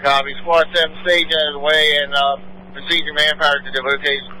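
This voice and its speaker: A man's voice: fairly high pitch, level tone, quick pace, sharp tone. A man acting as incident commander, speaking in clipped, businesslike radio phrases over a two-way radio. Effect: two-way radio transmission. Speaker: incident commander at an emergency scene. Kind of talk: terse emergency radio dispatch traffic. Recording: faintly noisy emergency radio scanner audio.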